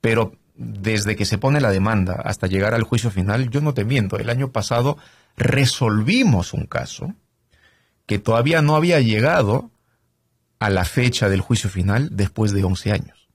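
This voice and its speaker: A middle-aged man from Canada talks through his work as a trial lawyer, stopping often on fillers and tag questions. His voice sits low and husky, and the sound is dry and close. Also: moderate pace, no room sound. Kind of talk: conversational interview.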